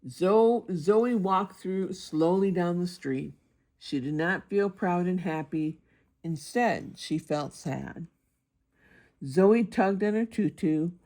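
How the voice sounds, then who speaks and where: A woman's voice: on the low side, takes it slowly, dark tone. A woman narrating a children's picture book aloud as a storybook reader.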